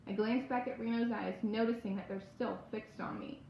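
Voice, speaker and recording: Expressive woman reading aloud in echoey room. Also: fairly high pitch, moderate pace, gravelly. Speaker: audiobook-style reader. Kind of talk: expressive read-aloud of a first-person children's spooky novel. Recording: roomy echo, faint background noise.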